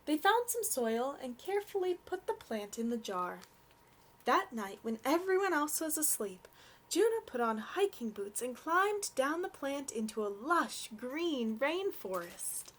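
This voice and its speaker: A young adult woman reading a storybook aloud for children in an expressive, gentle way. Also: high voice, measured pace.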